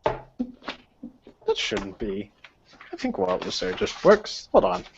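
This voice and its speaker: A man's voice: sits high, huge pitch swings, slow, creaky, noisy background. A man narrating a tabletop roleplaying game as game master, speaking casually with the players. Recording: dull, lo-fi voice recording.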